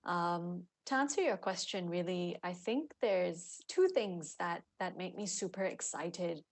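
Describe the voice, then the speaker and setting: A woman's voice: fairly low pitch, a little slow. A woman in her twenties, a social entrepreneur introducing herself on a panel in a friendly, upbeat way. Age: 20s.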